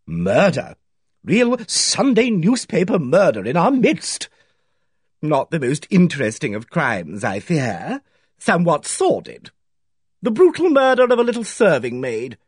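A man's voice: a little high, breathy edge, dry recording, studio quality, voice pushed up, exaggerated voice. A man doing a gushing fussy old gossip. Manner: gushing, theatrical, drawn-out. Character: fussy, effusive older male village gossip.

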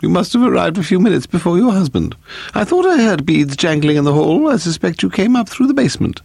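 Man putting on a haughty high-pitched lady. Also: sing-song, fast talker, vocal fry, darkish tone, breathy edge, no echo, studio quality, full character voice. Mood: severe, haughty. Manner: prim, disapproving. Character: haughty, severe aristocratic lady.

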